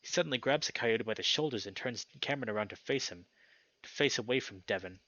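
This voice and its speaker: A man's voice: medium pitch, animated, fast talker, bright, forceful tone, no echo, dull audio. A young man reading a visual novel aloud as a dramatic story narration for a let's play. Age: young adult.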